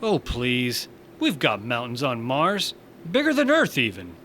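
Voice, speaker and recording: A man's voice: medium pitch, huge pitch swings, a little slow, pushed and bright, a little hiss or hum, full character voice. A man playing an easygoing young Martian bar owner in a science fiction audio drama, talking casually with dry sarcasm. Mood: wry banter.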